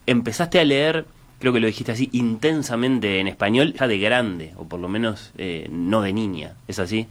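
Casting: male interviewer, Rioplatense accent, slightly low pitch, tentative and pausing delivery. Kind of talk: literary interview.

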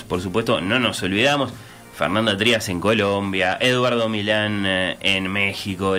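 A man's voice: lowish voice, pushed and bright. A man with a Uruguayan accent hosting a radio interview, hesitant with many filler sounds and restarts.

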